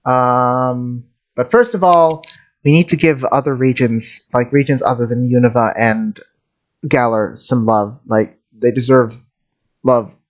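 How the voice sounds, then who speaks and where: A game streamer reacts casually in a middle-pitched voice. The delivery is rambling, with frequent stammered repetitions as thoughts get worked out aloud. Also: a little slow. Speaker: streamer, game content creator.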